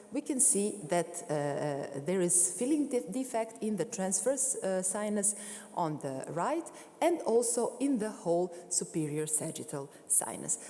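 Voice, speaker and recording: A woman delivers a conference talk on medical imaging in a big hall, her voice ringing with long reverb. Her tone is mellow and her pitch moves a lot. She pauses on frequent fillers and restarts as she walks through her slides. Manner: frequent fillers and self-corrections while explaining slides. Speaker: conference speaker. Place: large concert hall.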